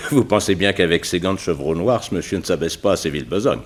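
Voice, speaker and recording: A man playing a gruff, colloquial police inspector in a 1960s French radio crime drama. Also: low-pitched, lively intonation, a little fast. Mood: eager. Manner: colloquial, interjecting, deferential to the detective.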